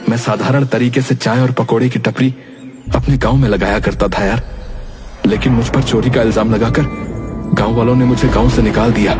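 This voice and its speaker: A man voicing a calm, earnest shopkeeper and father who soothes others and pleads when wronged. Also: mid-range voice, close to an everyday voice. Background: background music.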